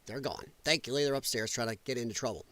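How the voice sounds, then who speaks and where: A man's voice: medium pitch, fairly bright tone. A man hosting a livestream, reading news aloud with exasperated, sarcastic, profane commentary. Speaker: livestream host. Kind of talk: opinionated news commentary rant, reading an article aloud with asides.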